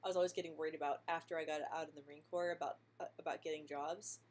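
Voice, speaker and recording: A young woman who stutters talks in a casual live-stream chat, her voice sitting a little low. She speaks softly, often repeating the first sounds of words, and laughs lightly between answers. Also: fairly even intonation, very fast. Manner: stutters with frequent sound and word repetitions. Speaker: vlogger answering viewer questions live.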